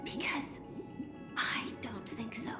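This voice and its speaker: High-voiced, earnest young heroine. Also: female voice, whispered. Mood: quietly defiant. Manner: deliberate, earnest, formal. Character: gentle, resolute young woman standing her ground. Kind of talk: dramatic video game acting. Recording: echoing voice under loud game music.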